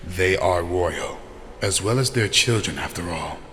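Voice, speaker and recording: A man playing a solemn, formal vampire elder with measured delivery in a fantasy audio drama. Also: low-pitched, lively intonation, a bit bright. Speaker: voice actor. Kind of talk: scripted fantasy character dialogue. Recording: long reverb, faint noise.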